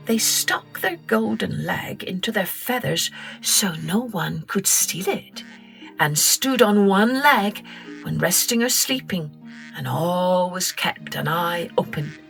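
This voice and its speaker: A woman host narrating a children's story, gentle, expressive and unhurried. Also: medium pitch, vocal fry.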